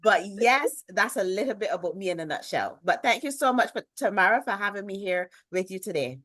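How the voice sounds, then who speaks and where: A woman from Barbados in her thirties with a Barbadian accent, a guest telling her story in an interview with expressive emphasis. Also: mid-range voice, a little fast, no echo, studio quality. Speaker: guest, business lifestyle strategist. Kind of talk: interview, personal introduction.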